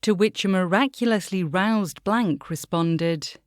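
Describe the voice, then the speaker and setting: A woman's voice: on the low side, unhurried, dry recording, studio quality. A woman narrating a scripted documentary as the host.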